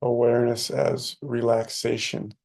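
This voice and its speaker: A man leading a guided meditation as a teacher, speaking soothingly in short, unhurried phrases with long pauses. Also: medium pitch, monotone, gravelly, a little mellow.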